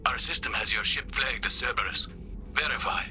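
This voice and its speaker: A man's voice: medium pitch, brisk, music in the background. A man playing a terse, official alien fleet traffic controller in a video game, heard through a radio comm filter. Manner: clipped, official. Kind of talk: video game cutscene acting.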